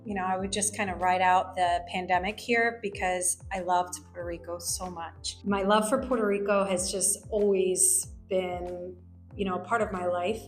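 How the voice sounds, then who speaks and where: A woman's voice: lowish voice, steady tone, normal speed. A woman who grew up in New Jersey, a lawyer, telling her personal story in a reflective, conversational way with many fillers. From United States, grew up in New Jersey. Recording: echoey room with faint music.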